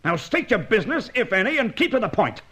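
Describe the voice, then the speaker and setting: A man's voice: high-pitched, big pitch swings, fast talker, a bit bright, slightly rough, heavily acted. An actor playing an irritable, self-important older British businessman in an old-time radio drama, indignant and spluttering. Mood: irritated, defensive. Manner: indignant, spluttering, hesitant starts.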